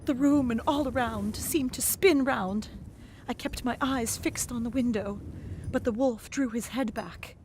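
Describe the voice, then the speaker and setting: A woman narrating a gothic novel in the first person, expressive and dramatic, dropping to whispers in the hushed passages. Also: fairly high pitch, a little slow, few pauses, a little hiss or hum.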